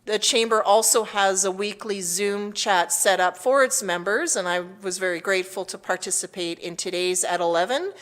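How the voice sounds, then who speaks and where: A woman with a Canadian accent speaking as mayor, reading out a formal public address in a measured, official manner. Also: medium pitch, fairly bright tone.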